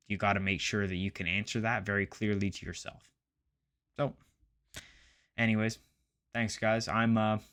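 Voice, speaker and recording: Male finance vlogger, late 20s. Lowish pitch, informal, slightly halting and self-correcting delivery. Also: a bit bright. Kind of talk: casual chat to camera about investing.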